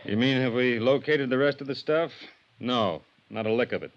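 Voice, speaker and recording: An actor playing a blunt, wry police detective in an old-time radio crime drama, talking in friendly slang. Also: male voice, medium pitch, normal speed, natural voice. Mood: wry, concerned. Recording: muffled, noisy vintage radio recording.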